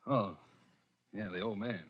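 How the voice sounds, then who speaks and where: A man's voice: fairly low pitch, measured pace. An actor playing an evasive, hesitant man on the run in a 1940s radio thriller. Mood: guarded, hesitant. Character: jittery fugitive man with lost memory, evasive noir hero. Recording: muffled, band-limited vintage radio recording.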